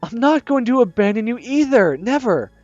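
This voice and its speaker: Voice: mid-range voice, very animated, normal speed, a little mellow, no room sound, muffled recording, soft background music, voice pushed up, close to an everyday voice. A gamer reading a visual novel aloud, voicing an earnest, caring teenage schoolboy who speaks urgently. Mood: reassuring. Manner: urgent, insistent.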